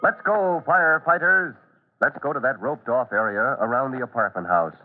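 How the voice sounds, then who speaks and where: Man, American accent, medium pitch, dramatic radio narrator, emphatic, suspenseful, thin vintage recording. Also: moderate pace, narrow, tinny audio. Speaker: announcer and narrator.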